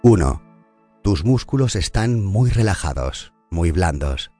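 A man narrating a scripted guided meditation in a calm, soothing and unhurried manner. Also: deep voice, soft background music.

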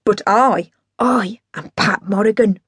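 A woman's voice: medium pitch, slow, slightly rough, no echo, studio quality. A woman voicing a drunk, boastful, sweary middle-aged father with big musical dreams, in a bombastic, declamatory way, in an audiobook story. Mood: drunken, grandiose.

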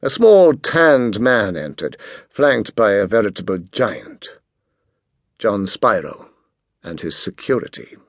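A man reading a novel aloud as an audiobook narrator, in expressive scripted narration. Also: lowish voice, takes it slowly, no room sound, studio quality.

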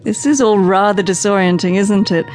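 A woman's voice: medium pitch, fairly even intonation, normal speed, a little mellow, slight background noise, lightly acted. An actress playing a proper, solicitous lady passenger in a radio drama, polite and well-mannered. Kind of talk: audio drama acting.

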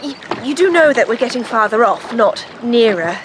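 High-voiced, headstrong young Englishwoman played by an actress. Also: animated, moderate pace, background noise, natural voice. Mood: brisk, impatient. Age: young adult. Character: spirited, headstrong young upper-class Englishwoman. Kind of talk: radio drama acting.

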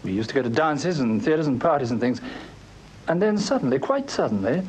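An actor playing an earnest, nervous young suitor in a British radio drama, hesitant and deferential, with Received Pronunciation. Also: male voice, medium pitch, big pitch swings, fairly quick, darkish tone, slight background noise, lightly acted, rough recording. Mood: earnest, aggrieved. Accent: English Received Pronunciation. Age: young adult.

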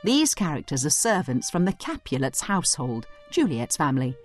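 A woman giving scripted educational commentary as a study guide narrator, explaining each point clearly. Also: sits low, sing-song, moderate pace, soft background music.

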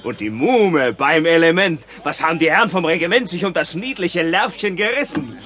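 An actor playing a swaggering, flirtatious cavalry soldier in a German radio play, with boisterous theatrical delivery. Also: male voice, fairly high pitch, animated, normal speed, fairly bright tone. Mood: flirtatious, teasing.

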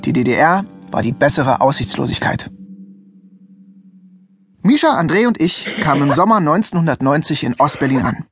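Young russian guy looking back on his teens, wry, easygoing, lively higher voice, bit of music under. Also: normal speed, pauses often, breathy edge, heavily acted. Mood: wry, reflective. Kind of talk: audio drama first-person narration.

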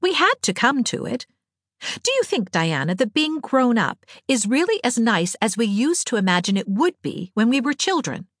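A fanciful, talkative girl of eighteen from a storybook played by a woman reader. Her lines sit high and swing widely, with wry, playful expression. The sound is close and dry. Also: sing-song, normal speed, a bit bright, no echo. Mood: thoughtful. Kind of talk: audiobook character dialogue.

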